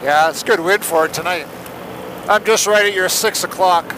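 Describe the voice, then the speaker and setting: Over a crackly intercom with clear engine noise, a man speaks in a high-pitched voice. He chats casually, like one pilot checking in with another mid-flight. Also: normal speed. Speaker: fellow paramotor pilot. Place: outdoors, in flight. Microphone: helmet headset intercom.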